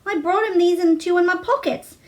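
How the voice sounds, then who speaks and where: Woman playing an eager high-pitched Yorkshire country boy. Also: brisk. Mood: cheerful, fond. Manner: eager, folksy dialect talk. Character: cheerful young Yorkshire country boy who loves animals.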